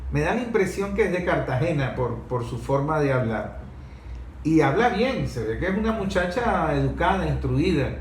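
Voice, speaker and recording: A man with a slightly high-pitched voice talks through a debate in a conversational way. He argues by posing rhetorical questions. The room is echoey, with faint noise behind him. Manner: argumentative, poses rhetorical questions. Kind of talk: conversational political-historical debate.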